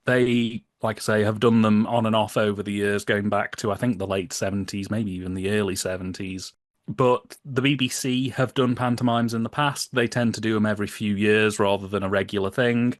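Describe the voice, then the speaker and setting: A man's voice: fairly low pitch, little pitch movement, fairly quick, no echo, studio quality. A British man chatting as a podcast guest, explaining things in a wry, humorous, chatty way. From United Kingdom. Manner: chatty, humorous, wry, often laughing as he talks. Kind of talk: casual podcast discussion, explaining.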